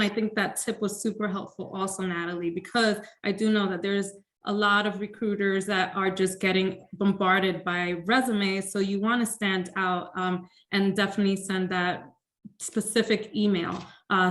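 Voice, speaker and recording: A woman's voice: medium pitch, fairly bright tone. Upbeat woman hosting an online workshop. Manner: upbeat, thanks guests, frequent fillers. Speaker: webinar host. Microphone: video call.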